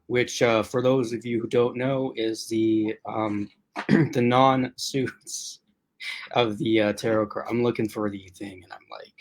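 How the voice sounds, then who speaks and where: A man hosting a relaxed livestream, talking straight to his chat viewers in a loose, rambling way. His voice is strained from being used a lot, and he stops often to clear his throat. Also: mid-range voice. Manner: chatty and rambling, addressing chat commenters. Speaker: host.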